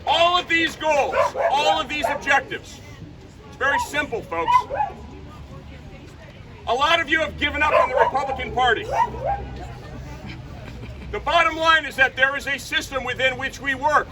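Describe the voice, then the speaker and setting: A man giving a fiery political rally speech outdoors, loud and punchy, shouting his slogans. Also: extremely high pitch, steady tone, normal speed, long pauses, far from the mic, over a noisy crowd, heavy background noise. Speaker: rally speaker. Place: outdoor rally by a state capitol.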